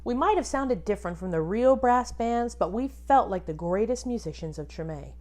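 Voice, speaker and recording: A woman teacher reading a picture book aloud to children expressively, pausing to ask them questions and point things out. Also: mid-range voice. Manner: animated, addresses young children with questions.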